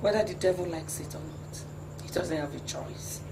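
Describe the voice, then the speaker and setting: An actress playing a stern, haughty wife who speaks in a slow, commanding way. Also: female voice, fairly low pitch, vocal fry, noisy background. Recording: distant, echoey, with music.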